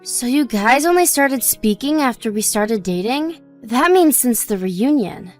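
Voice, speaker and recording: A woman acting a high-voiced devoted young wife. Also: animated, a little slow, soft background music. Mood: casual, chatty. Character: devoted young wife, gentle, modest, loyal to friends. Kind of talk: scripted dialogue in an audio story.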